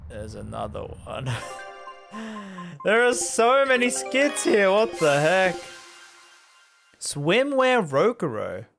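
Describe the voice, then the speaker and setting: A man's voice: sits high, wildly swooping pitch, slow, creaky, fairly bright tone, faint music behind. A chatty, amused man giving casual commentary as he plays a game. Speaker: gaming streamer.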